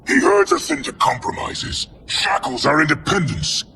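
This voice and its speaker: A man's voice: sits high, huge pitch swings, moderate pace, creaky, bright, forceful tone, slight background noise, heavily acted. A man voicing an arrogant, warlike general in a video game, blunt and scornful. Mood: insistent. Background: game music.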